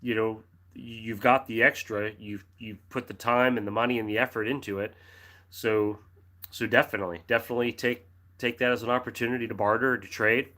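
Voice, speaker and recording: A middle-aged American man hosting a casual livestream, reading out viewer comments in a friendly, rambling way with restarts and throat clearing, his throat dry and a cough drop in his mouth. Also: medium pitch, flat delivery, fairly quick, sharp tone. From United States. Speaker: livestream host reading chat. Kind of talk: casual live chat.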